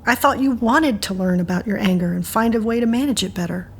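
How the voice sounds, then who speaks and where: A woman's voice: mid-range voice, fairly quick, a little hiss or hum, close to an everyday voice. A woman playing a protective, wry older friend who gives frank advice in an audio drama. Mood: concerned, probing. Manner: frank, advising. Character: older, protective, wry woman confidante and covert-ops rescuer.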